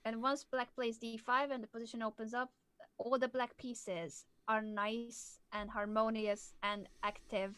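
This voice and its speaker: A woman's voice: a little high, little pitch movement, unhurried, fairly bright tone, dry recording. A woman from southern Hungary, patiently explaining chess moves as a coach on a live stream. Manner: clear, patient explaining, laughs easily.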